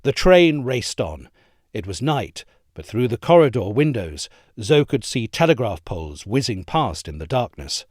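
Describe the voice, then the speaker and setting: A man reading a history essay aloud in a measured, expressive storytelling manner, close to a studio mic. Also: medium pitch, no echo. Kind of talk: scripted narration.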